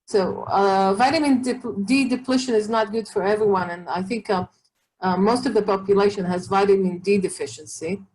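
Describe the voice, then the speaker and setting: A woman's voice: mid-range voice, normal speed, a little mellow, poor audio. Woman doctor with an Israeli accent. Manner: hesitant, many fillers and restarts. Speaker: oncologist. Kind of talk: expert webinar answering questions.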